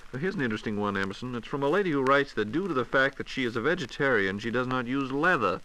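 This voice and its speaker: Man, fast-paced, bright forceful tone, polite announcer, 1940s radio host posing questions. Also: mid-range voice, lively intonation, poor audio. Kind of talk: semi-scripted 1940s radio question-and-answer. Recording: 1940s radio broadcast recording.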